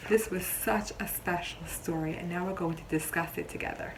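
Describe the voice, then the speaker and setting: A woman teacher reading a picture book aloud to young children, expressively, pausing to explain simply and ask questions. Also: fairly low pitch, very noisy. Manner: expressive storybook delivery, explains simply, pauses to question listeners.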